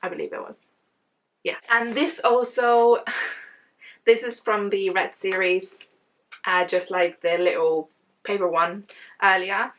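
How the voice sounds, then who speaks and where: A woman's voice: mid-range voice, fairly even intonation, slow pace, pauses often. A young woman from Iceland, with as her tongue, rambling cheerfully through a casual book unboxing and often restarting her words. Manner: chatty and rambling, often restarts words, gushes about books. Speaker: book vlogger.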